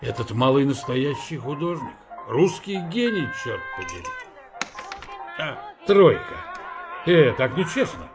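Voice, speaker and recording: A cheeky young sailor in a wartime radio play. His mid-pitched voice swings up and down in animated banter, with clear music behind him. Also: unhurried. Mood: eager, grumbling. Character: chatty, cheeky young British sailor grumbling over a dice game. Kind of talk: Russian radio drama acting.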